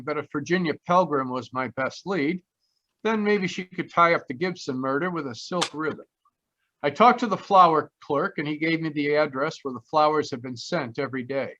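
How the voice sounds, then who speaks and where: Man playing a bright-voiced wisecracking private eye. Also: fairly high pitch. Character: wisecracking, cocky male private detective. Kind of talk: old-time radio noir narration and dialogue. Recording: dull online video-call audio.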